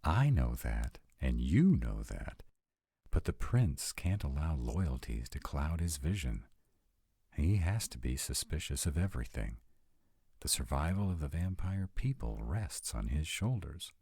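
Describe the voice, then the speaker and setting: A man's voice: a bass voice, big pitch swings, a little slow, vocal fry, dark tone, slightly rough, dry recording, clean studio sound, heavily acted. A man playing a troubled young sarcastic vampire, confiding casually and with some swearing and hesitation in an intimate audio roleplay. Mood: defensive, worried.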